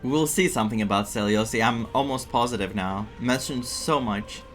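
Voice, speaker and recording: A man's voice: medium pitch, moderate pace, fairly bright tone. A man giving casual gameplay commentary as a streamer, thinking aloud hesitantly and emotionally invested. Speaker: streamer reacting to a game. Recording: faint game music behind the voice.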